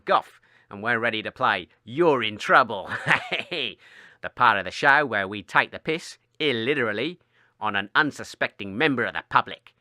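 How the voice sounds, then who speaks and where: A man's voice: lowish voice, sharp tone, no echo, studio quality. A man playing a crude, gleeful prank-show radio host, brash and mocking. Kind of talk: scripted radio comedy.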